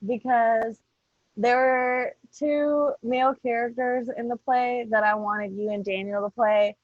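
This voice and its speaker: A woman's voice: a little high, steady tone, measured pace, no echo. A woman podcast host chatting casually and playfully with swearing, on slightly dull online-call audio. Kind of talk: casual chat.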